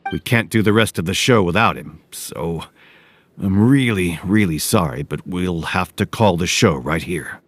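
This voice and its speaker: An actor playing a friendly, apologetic theater troupe leader in a video game cutscene, earnest and easily excited. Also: male voice, low-pitched, unhurried, no echo.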